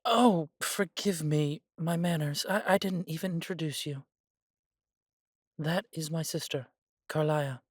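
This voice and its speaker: A man playing a warm, humble elf brother in a scripted fantasy audio roleplay, speaking in measured, slightly formal phrases. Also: a little high, dry recording, studio quality. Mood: fond, reflective. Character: warm, humble elf brother without magic, devoted to family.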